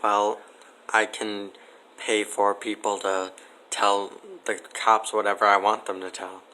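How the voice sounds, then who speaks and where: Flat, deadpan man with a forceful edge. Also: on the low side, slow pace, a little hiss or hum, poor audio. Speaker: interviewee. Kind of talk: casual interview answers.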